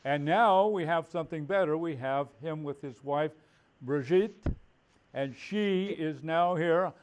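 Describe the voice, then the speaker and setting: A man's voice: fairly high pitch, unhurried, a little hiss or hum. A man hosting a video call interview, friendly and laughing easily as he asks short questions. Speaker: host.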